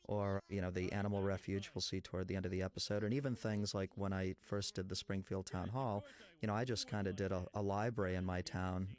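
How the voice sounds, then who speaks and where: This is an American man from New England with a lowish voice. He talks casually as a designer on an audio commentary. He explains his work in detail, often in lists. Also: flat delivery, fairly quick, hardly any pauses, a little mellow. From Massachusetts, New England, USA. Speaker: animation background designer.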